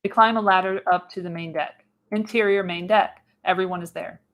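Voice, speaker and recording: Slightly low-voiced woman narrating a script. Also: steady tone, fairly quick, dull audio. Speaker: narrator, podcast host. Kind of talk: scripted narration of screenplay stage directions.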